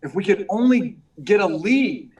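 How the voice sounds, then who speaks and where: A very high male voice from an actor as a meek young reporter in an old radio serial. He is earnest, polite and prone to stammer, heard a little away from the mic. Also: lively intonation, normal speed, darkish tone, lo-fi sound. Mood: concerned. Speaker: actor in a live radio play. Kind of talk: 1940s radio serial acting. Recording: distant, far from the mic.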